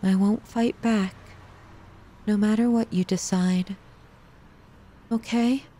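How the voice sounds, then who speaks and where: A woman playing an obsessive, possessive yandere lover in a dramatic ASMR roleplay, pleading intimately and haltingly with the listener. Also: medium pitch, fairly even intonation, mellow. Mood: sombre, resigned.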